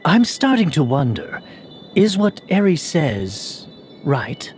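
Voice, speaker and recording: A thoughtful young hero from a fantasy game. He speaks slowly and hesitantly, with a very lively range of pitch. There is faint background noise. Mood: doubtful. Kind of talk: video game voice acting.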